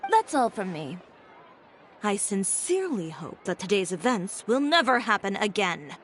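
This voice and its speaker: An actress playing a composed, proud dressmaker who talks calmly with dry, cutting sarcasm. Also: female voice, medium pitch, moderate pace, slight background noise, close to an everyday voice.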